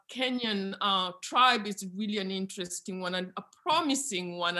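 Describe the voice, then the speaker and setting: A woman's voice: mid-range voice, pushed and bright. A woman academic explaining her research in a discussion, repeating words as she thinks aloud.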